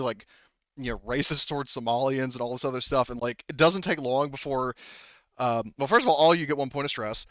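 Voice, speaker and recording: A man's voice: mid-range voice, fairly bright tone, clear, resonant tone, no echo, lo-fi sound. A man working as game master, narrating a tabletop roleplaying game off the cuff in a casual, profane way.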